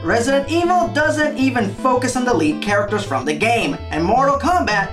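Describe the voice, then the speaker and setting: A man's voice: extremely high pitch, sing-song, moderate pace, over music. A man hosting a comedic scripted rant as a video game movie reviewer, exasperated, emphatic and sarcastic.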